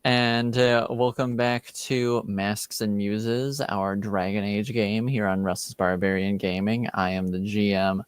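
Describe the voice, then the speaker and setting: A man's voice: on the low side, level tone, takes it slowly, few pauses, clean tone, dry recording, clean studio sound. A man hosting a tabletop roleplaying stream as game master, casual and joking, often poking fun at himself. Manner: joking, self-deprecating.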